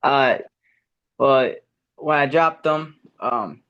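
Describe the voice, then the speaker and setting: A young man talks on a podcast in an eager, rambling way. His pitch sits in the middle range, and he fills his sentences with "um," "you know" and restarts as he tells his story. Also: very slow, clear, resonant tone, lo-fi sound. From America, Ecuadorian and Puerto Rican family. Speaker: amateur MMA fighter, guest. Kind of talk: casual podcast interview.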